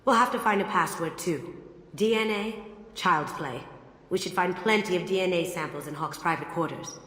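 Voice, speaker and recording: A woman's voice: on the low side, moderate pace, a bit bright, natural voice. An actress playing a sly, confident, playful female master thief in a video game, with a breezy, self-assured manner. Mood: cocky, playful. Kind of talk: scripted game dialogue. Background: background music.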